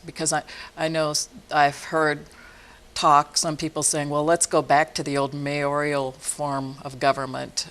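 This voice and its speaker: A woman with a notably low voice hosts a television interview show. She asks her questions in a conversational, unhurried way and fills her guest's pauses with quiet murmurs of agreement. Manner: warm, frequent affirming murmurs. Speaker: TV talk show host. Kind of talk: studio interview. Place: TV studio.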